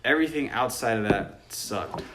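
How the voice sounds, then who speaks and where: A man's voice: medium pitch, expressive, moderate pace. A man co-hosting a casual film podcast, blunt and argumentative, swearing freely as he gives his takes. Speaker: podcast co-host. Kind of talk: casual film chat among friends. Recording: echoey, off-mic, clear background noise.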